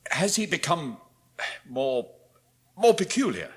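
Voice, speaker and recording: An actor playing a brooding, emotional English gentleman father in a dramatised audiobook, with slow, halting, formal speech. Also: fairly high pitch, big pitch swings, slightly rough, no echo, full character voice. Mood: anxious, brusque.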